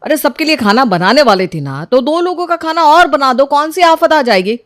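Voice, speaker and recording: A woman's voice: high voice, lively intonation, moderate pace, no echo, clean studio sound. A woman voicing a bossy sarcastic husband. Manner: scolding, sarcastic. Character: bossy scolding young husband, a man. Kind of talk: animated story dub.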